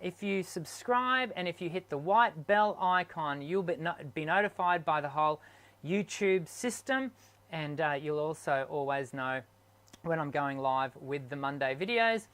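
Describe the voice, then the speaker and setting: A man's voice: a little high, fairly bright tone. Hesitant man hosting live. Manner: hesitant, many ums and restarts. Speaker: vocal coach hosting a live stream. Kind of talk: unscripted live stream Q&A.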